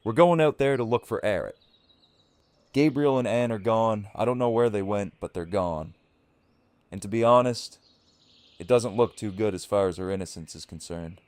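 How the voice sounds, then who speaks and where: Man playing a stern, low-voiced survivor leader. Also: normal speed, darkish tone, dry recording, natural voice. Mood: firm, serious. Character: stern male leader of a survivor community giving orders. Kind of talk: fan audio drama acting.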